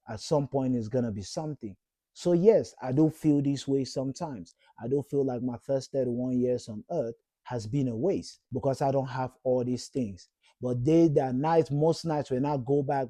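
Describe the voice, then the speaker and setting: A man's voice: on the high side, warm tone, dry recording. A man in his thirties from Cameroon, giving an earnest, encouraging motivational talk to camera and repeating points for emphasis. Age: 30s. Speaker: vlogger.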